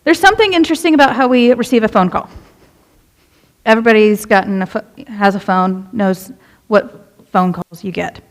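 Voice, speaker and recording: A woman's voice: medium pitch, normal speed. A young woman, a youth minister, preaching a casual, conversational sermon in a church and asking the congregation questions. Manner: chatty, relatable, asks the congregation questions. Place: church sanctuary.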